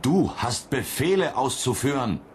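An actor playing a gruff, brusque, domineering male space mission chief in a radio play. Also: mid-range voice, big pitch swings, a little slow, slight background noise. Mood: irritated, commanding. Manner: brusque, authoritarian. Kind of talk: German radio play acting.

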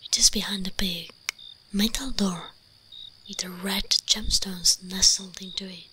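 Woman acting slow whispering vampire mistress. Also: medium pitch, fairly even intonation, sharp tone, heavily acted. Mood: coolly commanding. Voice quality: breathy whispering. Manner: slow, imperious, teasing. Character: teasing, commanding vampire mistress addressing her servant. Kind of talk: whispered ASMR roleplay.

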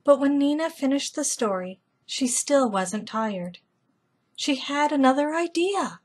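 A middle-aged woman hosting a storytime, reading a children's book aloud in a gentle, deliberate manner. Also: high-pitched, lively intonation. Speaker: storytime host. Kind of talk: children's read-aloud narration.

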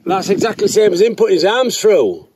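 A man's voice: high-pitched, animated, quick pace. A man bantering casually and sceptically, often ending with tag questions. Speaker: family travel vlogger. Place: outdoors in open desert dunes. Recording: outdoor recording with faint noise.

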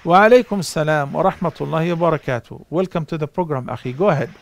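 A middle-aged man calmly answering callers' questions on a live call-in show, speaking outdoors in a garden on a lapel mic. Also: on the high side, quick pace, creaky. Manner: calm, explanatory, gestures while talking.

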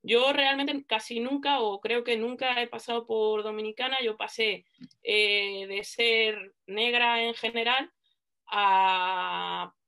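A woman's voice: on the high side, gravelly, smooth. A woman in her thirties, a panel guest, talking animatedly on a video call from a dim room at home, with some fillers and restarts. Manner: animated, gestures while talking, halting with fillers. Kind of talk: casual chat on a video call. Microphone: laptop webcam on a video call.

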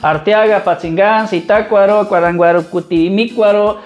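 A man from Mexico with a Mexican accent, chatting casually as a vlogger in an informal, halting, repetitive way. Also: high-pitched, fairly even intonation, faint music behind. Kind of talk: casual chat answering viewer questions.

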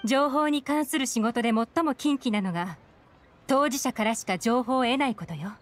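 Woman as a highish-voiced, composed spymaster. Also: moderate pace, natural voice. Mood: knowing, assured. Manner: composed, self-assured, crisp orders. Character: cool, composed, commanding young female intelligence chief. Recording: background music under the voice.

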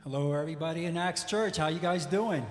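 A man's voice: a little high, fairly quick. A man in his forties, the pastor's husband, greeting a congregation casually and a bit hesitantly into a handheld mic. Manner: relaxed, hesitant. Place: large arena church stage. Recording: reverberant hall with music.

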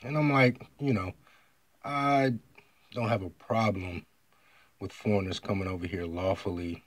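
A man from the United States talking straight to his audience about an online argument. He speaks emphatically and often clears his throat. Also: low-pitched, fairly even intonation, a little slow, dry recording. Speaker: vlogger. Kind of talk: monologue retelling an online argument.

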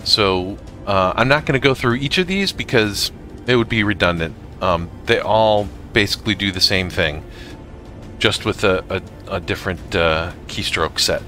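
A man narrating a casual software tutorial for gamers in a halting way, often pausing mid-sentence. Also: on the low side, animated, soft background music. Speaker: gamer making a tutorial video.

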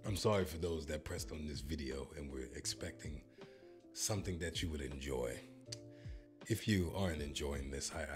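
A man in his thirties with an American accent, joking and boasting as he reacts to a language-learning app, speaking into a handheld mic in a home studio. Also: low voice. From United States. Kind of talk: playful reaction commentary. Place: home studio with purple lighting. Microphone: handheld microphone.

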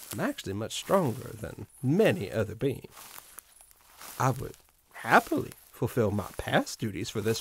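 A man's voice: medium pitch, fairly bright tone, slight background noise, rough recording. A man playing a proud, mischievous living scarecrow who guards a farm, musing in a theatrical, pompous way in an audio drama monologue. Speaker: voice actor.

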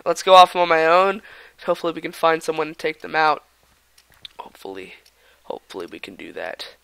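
A young man talks over his gameplay in a loose, rambling way, jumping between excited outbursts and mumbled or whispered asides. His voice sits a little high, bright and edgy, with a gravelly fry left over from a cold. The sound is close and dry, with no room around it. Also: brisk, dry recording. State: raspy from a cold. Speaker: gaming channel host. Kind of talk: casual gameplay commentary.

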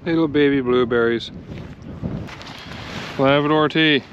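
A man's voice: medium pitch, a little slow, a bit bright, dull audio. A man chatting casually to a camera while out in the open wilderness. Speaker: outdoor vlogger. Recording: noisy outdoor audio with wind.

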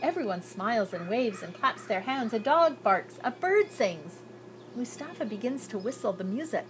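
A woman's voice: on the high side, very animated, moderate pace. An older woman reading a children's picture book aloud expressively, drawing out descriptive phrases with care. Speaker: storybook reader. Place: outdoors on a garden patio. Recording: outdoor recording with background noise.

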